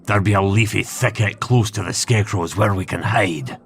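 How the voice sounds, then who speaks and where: A man's voice: on the low side, normal speed, creaky, a little hiss or hum. Man playing a nervous, folksy country farmer. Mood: wary. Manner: folksy old-fashioned country talk. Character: fearful rustic farmer, plain-spoken and superstitious.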